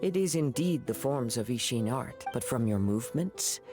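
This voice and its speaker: An actor voicing a calm, perceptive young male swordsman who speaks in measured, lecturing tones in a game. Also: medium pitch, close to an everyday voice. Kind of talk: game voice acting. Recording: game audio over clear music.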